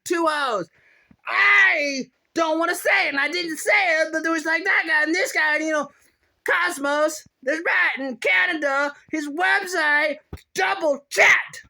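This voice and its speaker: A very high, bright, squeaky voice rants in a rambling vlog. The agitated complainer exclaims, repeats phrases and stretches words out. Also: male voice, creaky, full character voice. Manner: exclamatory, repetitive, drawn-out words. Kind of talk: rambling solo rant.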